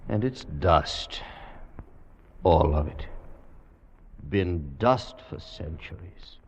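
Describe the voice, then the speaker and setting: An actor playing an intense, commanding scientist and time traveller in an old-time radio drama, speaking in an emphatic, theatrical way. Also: male voice, low voice, expressive, drawn-out words, dull audio, full character voice. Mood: bitter disappointment. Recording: old radio recording with faint noise.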